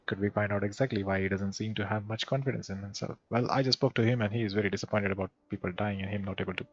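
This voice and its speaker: A man playing through a fantasy game, reading the dialogue aloud and adding casual remarks, with a gravelly voice. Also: lowish voice, fairly even intonation, racing, lo-fi sound. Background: game music.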